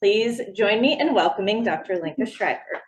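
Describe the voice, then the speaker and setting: A woman introduces a keynote speaker, reading a prepared bio at a measured pace. Faint noise sits behind her voice. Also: medium pitch, lively intonation. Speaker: event host introducing speaker.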